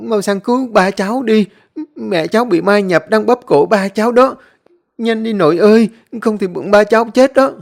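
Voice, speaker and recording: A man's voice: high voice, normal speed, creaky, voice pushed up. A man doing a wailing little boy. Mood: crying, pleading. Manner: wailing, pleading. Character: small boy crying for his grandfather.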